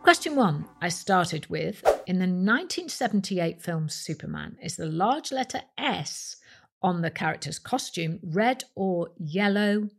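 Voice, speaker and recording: A British woman hosts a quiz podcast in a husky, creaky voice. Her pitch sits a little low but swings widely as she reads out questions and reveals answers. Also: big pitch swings, measured pace. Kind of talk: scripted quiz narration addressed to listeners.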